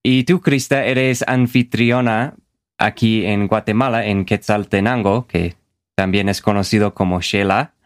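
Un hombre de Estados Unidos cuyo idioma nativo es el inglés, co-presentador de un podcast, que habla español de forma relajada y tranquila. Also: male voice, lowish voice, a little slow, dry recording. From United States. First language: English. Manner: relaxed, easygoing, sprinkles in Mexican slang. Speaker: podcast co-host. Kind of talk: casual chat.

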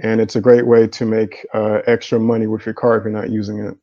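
A man's voice: on the low side, flat delivery, fairly quick, lo-fi sound. A man hosting a live interview stream over a video call, casual and upbeat, with the odd um and man.

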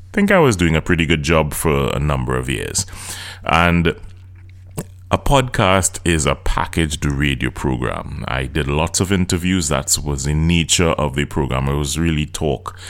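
A middle-aged host with a Trinidadian accent talks straight to his listeners on his own podcast. His voice sits extremely low, and he explains things in an earnest, coaching manner. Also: gravelly, fairly bright tone. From Trinidad and Tobago. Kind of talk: solo podcast talk.

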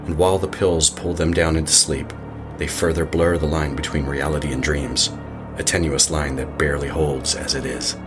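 An extremely deep-voiced male narrator played by a man tells a horror tale. His delivery is measured, solemn and literary, with an ominous weight. Music plays clearly beneath him. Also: level tone. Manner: measured, solemn, deliberate storytelling. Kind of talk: scripted horror audio drama narration. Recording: music under the voice.

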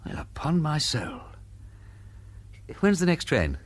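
An actor playing a languid, breezy gentleman detective in a radio drama, with an upper-class English accent and a drawling, exclaiming manner. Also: on the high side, wildly swooping pitch, takes it slowly, full character voice. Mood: dismayed but breezy. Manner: drawling, effusive, hesitant exclamations.

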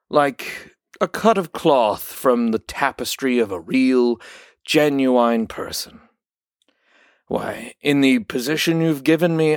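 A man's voice: medium pitch, no room sound, studio quality. A man playing a suave, sinister doctor in a horror audio drama, with a theatrical, mock-polite and unctuous manner. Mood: playfully musing. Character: suave, sinister male doctor of a grim facility. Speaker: doctor at a psychiatric facility.

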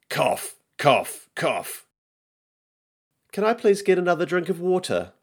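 A man's voice: a little high, voice pushed up, natural voice. A man voicing a fussy, suspicious child who whines and questions warily in a comic story. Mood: evasive, pleading. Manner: whiny, wary questioning. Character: fussy, suspicious child refusing to eat dinner. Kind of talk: acted comic list in a personal story podcast.

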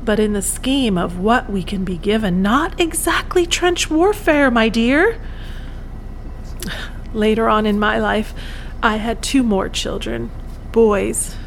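High, unhurried old bohemian woman painter. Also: creaky, a little hiss or hum, poor audio. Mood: frank, rueful. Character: aging bohemian woman painter, wry, blunt, regretful. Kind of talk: audio play acting. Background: bus or vehicle ambience.

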